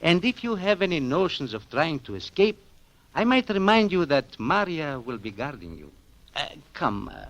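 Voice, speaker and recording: An actor playing a courteous, menacing older foreign count in 1940s radio style, formal, smooth and condescending. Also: male voice, on the high side, fairly bright tone, no echo, low-quality recording.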